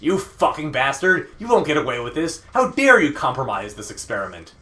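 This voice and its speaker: A man's voice: mid-range voice, wildly swooping pitch, a little fast. Man acting a raging, foul-mouthed villain boss. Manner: shouted, threatening outbursts. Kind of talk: dramatic reading of a video game script.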